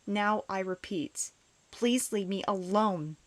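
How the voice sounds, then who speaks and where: A woman's voice: medium pitch, slow pace, natural voice. A woman acting a bitter, despondent outcast in a comic dub, guarded, sullen and dismissive. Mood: curt, defiant.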